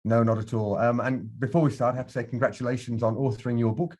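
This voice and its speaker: A man's voice: mid-range voice, little pitch movement, quick pace, a little mellow. A man hosting a podcast interview, upbeat and welcoming as he asks questions. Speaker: podcast host.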